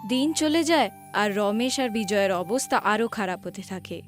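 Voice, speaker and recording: A woman's voice: medium pitch, expressive, normal speed, soft background music. A woman giving scripted storybook narration for a cartoon.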